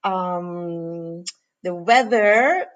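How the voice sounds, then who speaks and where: A woman's voice: lowish voice, drawn-out words, lo-fi sound. Middle-aged woman from Costa Rica, podcast guest; eager, rambling, full of fillers. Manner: warm, enthusiastic, many fillers. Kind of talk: casual podcast interview.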